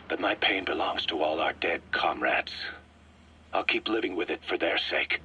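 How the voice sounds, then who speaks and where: An actor playing an embittered, vengeful military commander in a video game, speaking in a clipped, stern, forceful way. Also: male voice, on the low side, dull audio. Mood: bitter, resolute. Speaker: military commander briefing his boss. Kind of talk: acted radio-style briefing in a video game. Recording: band-limited radio tape, faint noise.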